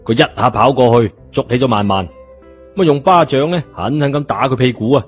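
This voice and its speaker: A man's voice: mid-range voice, brisk. A man narrating a story in a colloquial scripted style, in a dull recording. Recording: dull lo-fi audio, music behind.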